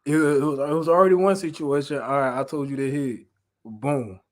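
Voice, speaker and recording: A man's voice: on the high side, steady tone, moderate pace, darkish tone. A man with an American accent giving an informal Bible lesson, mixing reading aloud with slangy, conversational retelling and repeating phrases for emphasis. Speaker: preacher.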